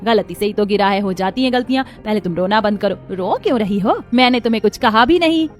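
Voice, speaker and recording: A woman voicing a protective middle-aged mother-in-law in an animated family drama, motherly and firm. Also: mid-range voice, brisk, faint music behind, close to an everyday voice. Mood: caring, reassuring.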